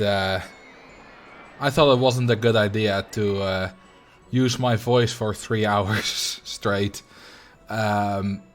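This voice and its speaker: A man with a Dutch accent, speaking English as a second language, chats easily with his stream audience in a mid-range voice. His delivery is loose and unhurried, full of ums and small chuckles as he answers chat. Faint music plays underneath. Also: mid-range voice. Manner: relaxed and rambling, frequent ums and soft laughs. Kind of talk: casual livestream chat, reading and answering chat.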